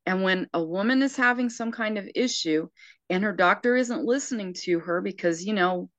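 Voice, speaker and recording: A woman's voice: mid-range voice, moderate pace, fairly bright tone, no room sound. A sixty-year-old woman speaking earnestly and emphatically in casual conversation, often restarting her sentences. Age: 60s.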